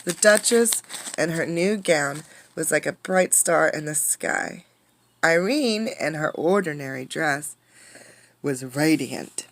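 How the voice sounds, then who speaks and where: A woman reading a picture book aloud with dramatic, emphatic delivery, pausing to put questions to listeners. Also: low-pitched. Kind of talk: expressive storybook read-aloud with questions to listeners.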